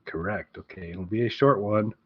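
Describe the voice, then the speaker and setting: A man's voice: lowish voice, moderate pace, slightly rough, dry recording. A man co-hosting a podcast in casual chat, rambling and correcting himself as he goes. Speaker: podcast co-host. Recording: dull, lo-fi close dry audio.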